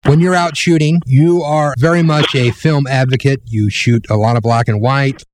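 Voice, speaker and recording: A man's voice: medium pitch, fairly even intonation, moderate pace, a little hiss or hum. A man hosting an interview in a casual, conversational way, with informal, rambling questions. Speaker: interviewer, host.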